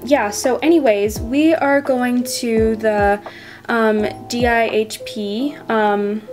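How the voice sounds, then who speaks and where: A woman's voice: mid-range voice, darkish tone. A young woman in her teens narrating a casual horse vlog, chatty and full of fillers and little asides. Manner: chatty, many fillers and "you know". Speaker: toy horse vlog creator voicing a rider. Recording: voice-over with clear music underneath.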